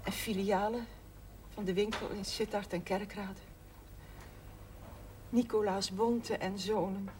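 A woman's voice: medium pitch, fairly even intonation, slow, pauses often, darkish tone, lightly acted. An actress playing an older widowed mother who runs a struggling shop, plaintive and stubbornly proud, in naturalistic television drama. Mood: proud, defensive. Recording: noisy 1970s television soundtrack.

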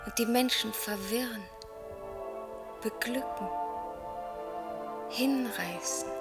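An actress in a German radio play as a restless, vain, stage-struck young wife, breathy and intense, often sinking towards a whisper. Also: female voice, a little high, over loud music. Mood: dreamy, rapt. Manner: dreamy, intense, drawn-out phrases.

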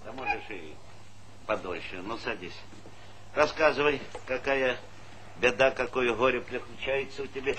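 An actor playing a brisk, folksy, middle-aged collective farm chairman who is bossy and repeats words in quick bursts, in a stage comedy. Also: mid-range voice, a bit bright, lightly acted. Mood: brisk, coaxing. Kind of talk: theatrical stage comedy acting. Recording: noisy 1970s Soviet theatre recording.

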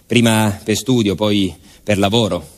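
Theatrical male narrator, deliberate and emphatic. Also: lowish voice, steady tone. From Salento, southern Italy. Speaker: stage narrator and actor.

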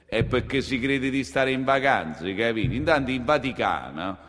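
A man voicing a weary, wary high-ranking Neapolitan prelate with a Neapolitan accent, in stage-monologue style. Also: expressive, moderate pace, fairly bright tone, close to an everyday voice. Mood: indignant. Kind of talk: theatrical monologue acting. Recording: long hall reverb, music behind.

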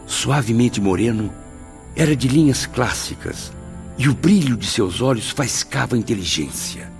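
An actor in a radio drama playing a spirit who narrates his memories in a florid, theatrical, savouring way. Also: deep voice. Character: male spirit narrator, wonderstruck, reminiscing. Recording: music behind the voice.

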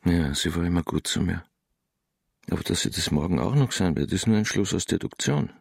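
An actor playing a distrustful, brooding logician in a radio play, husky and gravelly, with deliberate, pedantic reasoning. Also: male voice, low voice, expressive, exaggerated voice. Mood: brooding suspicion. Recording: long reverberant hall.

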